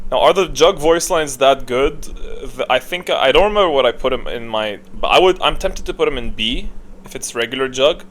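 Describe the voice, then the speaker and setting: A man with a middle-pitched voice talks through a gaming tier list in a casual, unscripted way. He rambles and thinks aloud, giving his opinions as he goes. Background noise sits audibly behind his voice. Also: low-quality recording. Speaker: gaming content creator, host. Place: indoors, voice-over on screen recording. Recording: clear steady background noise.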